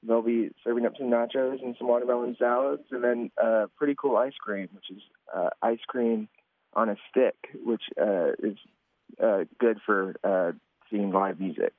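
A man's voice: medium pitch, steady tone, moderate pace, no echo. A man, an event organizer, talking eagerly in a radio interview with frequent fillers. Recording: tinny phone line.

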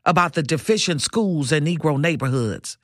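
A woman's voice: sits low, lively intonation, moderate pace, no echo, studio quality. An actress playing a commanding, indignant early twentieth-century activist woman in a radio drama, questioning insistently and declaiming.

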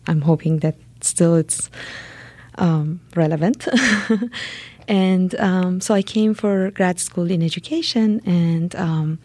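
A woman from Iran, a guest on a radio interview, speaking softly and earnestly with many pauses. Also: on the low side, fairly even intonation, no room sound. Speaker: guest, documentary platform co-founder.

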